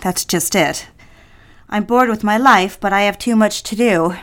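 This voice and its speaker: A woman's voice: medium pitch, measured pace, a bit bright, natural voice. A woman playing a bitter, jealous young mother in a period audio drama. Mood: bored, discontented.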